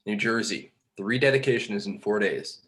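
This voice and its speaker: A man playing a pedantic exiled angel in a film script table read, explaining things in a dry, measured way. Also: on the low side, fairly bright tone, lightly acted. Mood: exasperated. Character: Bartleby, exiled male angel, reasoning, pedantic, exasperated schemer.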